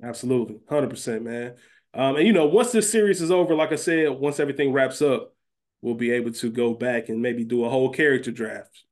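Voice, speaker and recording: A man speaks as a podcast co-host at a middle pitch. His delivery is casual and conversational, explaining things informally as he goes. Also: a little fast, darkish tone. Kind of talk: casual podcast discussion of a TV series.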